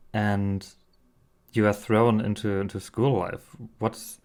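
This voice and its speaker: Lowish-voiced man hosting a podcast. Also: a bit bright. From Germany. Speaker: podcast host. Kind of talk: interview hosting.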